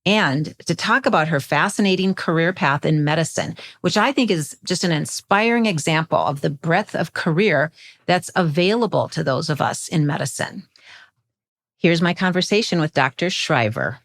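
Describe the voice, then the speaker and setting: A woman's voice: low voice, lively intonation, moderate pace, fairly bright tone. A woman hosting a podcast, reading scripted introductions and putting questions to a guest. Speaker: podcast host.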